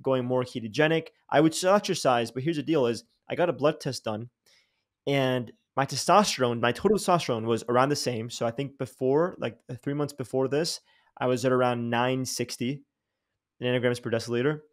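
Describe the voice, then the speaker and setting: A young man hosting a podcast in a loose, animated conversation. He drops casual slang and restarts his sentences often as the thoughts tumble out. His voice sits at an ordinary pitch for a man. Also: a little fast. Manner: animated, slangy, says dude and bro, many restarts. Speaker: podcast host. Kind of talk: casual interview chat.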